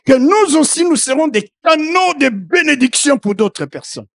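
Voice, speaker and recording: A middle-aged man preaching a sermon as a bishop, not a native speaker of the language. He preaches fervently, calling out hallelujahs and swinging from quiet asides to loud proclamations. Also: extremely high pitch, animated, moderate pace, creaky. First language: not French.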